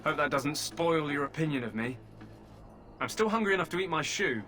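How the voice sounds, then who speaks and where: Caged deserter, hungry and cheeky; wry, wheedling delivery. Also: male voice, fairly high pitch, lively intonation, a little fast, bright, forceful tone, slight background noise. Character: hungry, flirtatious male prisoner, a deserter. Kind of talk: video game voice acting.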